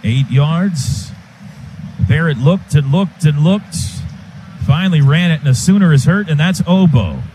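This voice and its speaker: A man with an American accent and a fairly high voice works as a football radio announcer. He describes the action in short clipped phrases, live from the booth, with faint background noise. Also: lively intonation, unhurried, mellow, low-quality recording. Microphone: broadcast booth microphone. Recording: live stadium broadcast, faint ambient noise.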